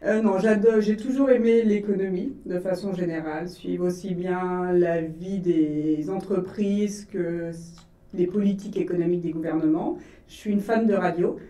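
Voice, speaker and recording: A woman from France with a Parisian accent, a relaxed guest in a radio interview who laughs easily. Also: on the low side, normal speed, reverberant, recorded from a distance. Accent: Parisian French.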